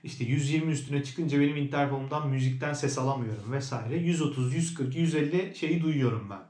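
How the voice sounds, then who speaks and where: A man's voice: medium pitch, brisk, a little mellow. A man giving a casual, conversational product review as a vlogger, explaining things as he goes. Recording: roomy indoor echo.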